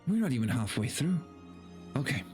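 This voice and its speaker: A man's voice: mid-range voice, sing-song, normal speed, darkish tone, faint music behind. A man streaming a dramatic story reading, with theatrical narration and casual, mock-exasperated asides.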